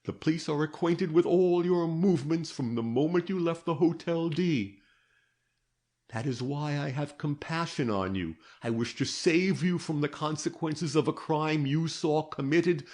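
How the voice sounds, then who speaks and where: A stern and patient old detective from a period mystery, pressing each question with grave insistence, as a male reader puts him on. He speaks slowly and deliberately, a little raised above the reader's own tone. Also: a little high, a little mellow, pitched above the performer's own voice, close to an everyday voice.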